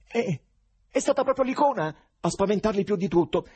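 Man acting a frantic, shouting, indignant poet. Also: sits high, expressive, moderate pace, breathy edge, muffled recording, voice pushed up. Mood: agitated, insistent. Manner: shouting, stammering, agitated outbursts. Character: frantic, indignant male poet held in an asylum.

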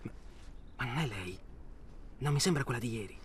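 Clear background noise and ambience surround an actor as a stubborn, jittery male hunter. He is hesitant and querulous, doubting and repeating himself in a naturalistic radio drama manner. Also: medium pitch, animated, normal speed, lightly acted. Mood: groggy, doubtful.

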